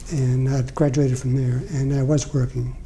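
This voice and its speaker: Older man in his 70s, deep dark tone, halting delivery, light hiss behind. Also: little pitch movement. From Portugal. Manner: hesitant, many fillers and restarts. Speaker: interviewee, veteran. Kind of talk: oral history interview. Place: library room.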